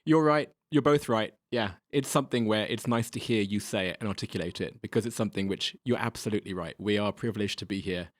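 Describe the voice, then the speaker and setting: A man appearing as a podcast guest, agreeing warmly and speaking thoughtfully in casual chat. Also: on the low side, fast, hardly any pauses, dry recording, clean studio sound.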